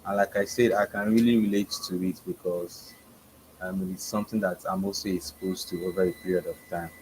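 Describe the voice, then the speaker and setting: A man's voice: low voice, fairly even intonation, a little fast, darkish tone, a little hiss or hum, poor audio. A man in the audience politely asking the speaker a question, hesitant with fillers. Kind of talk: question from the floor.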